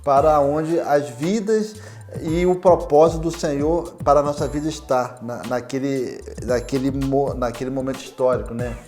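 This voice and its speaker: A man with a Brazilian accent hosting an informal interview, halting and repeating words, often ending with tags that seek agreement. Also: medium pitch, a little mellow, soft background music. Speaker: interviewer, fellow missionary.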